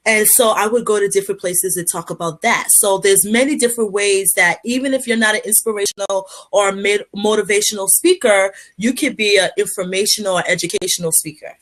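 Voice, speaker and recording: A woman's voice: medium pitch, fairly quick, few pauses, a bit bright. A woman from Liberia, a public speaker, answering interview questions in an earnest, motivational way with frequent fillers and restarts.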